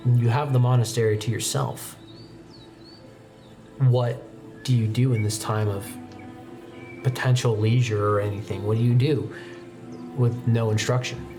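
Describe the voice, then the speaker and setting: A man's voice: medium pitch, steady tone, unhurried, pauses often, music in the background. A man working as game master, narrating a tabletop roleplaying game.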